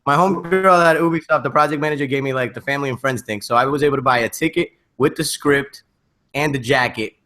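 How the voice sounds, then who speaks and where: A man hosting a casual podcast with friends, bantering excitably and emphatically in profane slang. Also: mid-range voice, quick pace, soft background music. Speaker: podcast host.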